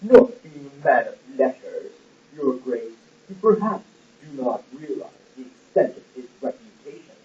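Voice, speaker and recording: A man playing a smooth, cold, scheming royal secretary in an amateur puppet-show play, speaking in a measured, formal way. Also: medium pitch, very animated, warm tone. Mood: insistent, measured. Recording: roomy, muffled, distant lo-fi recording.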